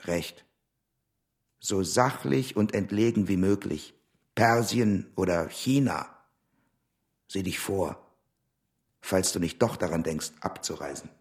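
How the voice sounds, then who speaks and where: Radio drama: hard-line male revolutionary, rough low voice, clipped, disapproving. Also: slow, pauses often, a little mellow, heavily acted. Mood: terse, cautioning. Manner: disapproving, terse, urgent. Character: Leo, stern, disciplined male revolutionary. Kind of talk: German radio drama acting.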